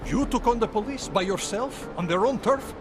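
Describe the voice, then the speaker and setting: A man's voice: high-pitched, normal speed, music in the background, lightly acted. An actor playing an earnest, loyal augmented man who guards a rebel group's door in a video game cutscene. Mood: wary. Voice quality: earnest, admiring, reflective.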